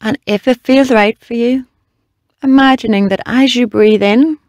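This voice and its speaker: A meditation guide leading a body scan in a slow, measured, gently instructing way with long pauses. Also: medium pitch, fairly even intonation, no room sound.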